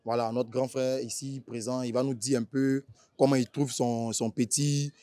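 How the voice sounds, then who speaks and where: A male interviewer with an Ivorian accent talks in a mid-pitched voice, recorded dry and close. He is chatty and informal, peppering questions with slang and filler tags as he rewords them. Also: little pitch movement, normal speed, mellow, no echo.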